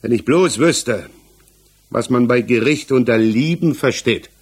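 A man's voice: mid-range voice, husky. An actor playing a defensive, hesitant married village tradesman under questioning in a 1960s German radio play, searching for his words. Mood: defensive, agitated. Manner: halting, searching for words, evasive.

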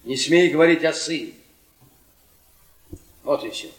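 A man's voice: fairly high pitch, measured pace, lightly acted. An actor playing a bitter, sarcastic, downtrodden professor husband in a radio play, mocking and needling as he repeats himself. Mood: tense, bitter. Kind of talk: Russian theatrical radio play acting. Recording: echoey stage, distant mic, audience noise.

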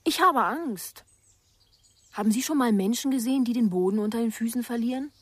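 Young woman for radio thriller: scared, guarded, breathy-husky, dry close recording. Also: fairly high pitch, a little fast, no room sound. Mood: anxious, guarded. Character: frightened, guarded daughter of a respected family. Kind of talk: German radio crime drama acting.

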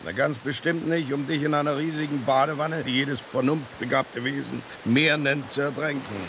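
An actor playing a worldly, philosophical stray tomcat in a radio drama, with a sardonic, dismissive drawl. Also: male voice, mid-range voice, fairly even intonation, normal speed, lightly acted. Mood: dismissive, mocking. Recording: constant rain-like hiss behind the voice.

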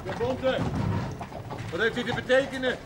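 A man's voice: extremely high pitch, moderate pace. An actor playing an indignant man guarding a door in a television drama, protesting in exclamations. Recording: noisy 1970s television soundtrack.

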